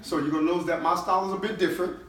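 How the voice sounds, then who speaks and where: A distant, very echoey recording of a man addressing his staff. His voice is bright, forceful and a bit high, and he speaks in an emphatic, motivational way, tossing out quick check-in questions. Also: fairly even intonation. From New Orleans, United States. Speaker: CEO leading a team-building session. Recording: echoey room, distant mic, faint noise.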